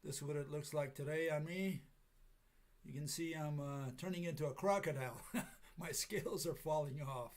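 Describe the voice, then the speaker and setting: Raspy-voiced man lecturing through a cold. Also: fairly high pitch, fairly even intonation. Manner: halting, many fillers, chuckles at himself. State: hoarse from a cold. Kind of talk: casual livestream lecture.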